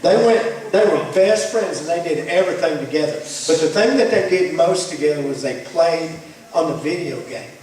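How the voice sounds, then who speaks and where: A man tells a story live as puppet show narrator. His voice is fairly high and carries through a very echoey hall from a distance. He rambles, bumbles and teases as he ad-libs. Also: normal speed, a little mellow, a little hiss or hum. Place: church hall.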